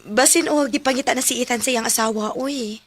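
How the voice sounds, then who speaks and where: A woman's voice: on the high side, brisk, husky. A woman with a Filipino accent playing a confident, friendly project manager in a radio drama. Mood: friendly, flirty. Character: Miss Nika, confident, friendly single female project manager.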